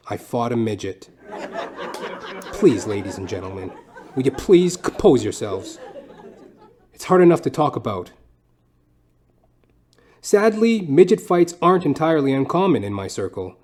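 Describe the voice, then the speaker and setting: A man's voice: mid-range voice, darkish tone. A man delivering scripted comic narration for an audio play, with theatrical, mock-literary phrasing. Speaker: comedian and storyteller. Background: music.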